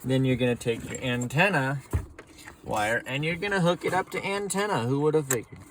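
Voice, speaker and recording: A man giving a relaxed, folksy how-to walkthrough from inside a truck. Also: mid-range voice, normal speed, noisy background. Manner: relaxed, folksy explaining with casual slang. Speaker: mechanic demonstrating CB radio setup. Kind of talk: casual how-to narration.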